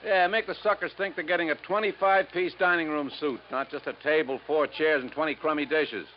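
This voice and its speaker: An actor playing a crooked, self-pitying middle-aged American furniture boss with blustering, slangy talk, in a 1950s radio drama. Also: male voice, on the high side, fairly even intonation, fairly quick, close to an everyday voice. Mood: brisk, scheming. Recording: dull, noisy 1950s radio recording.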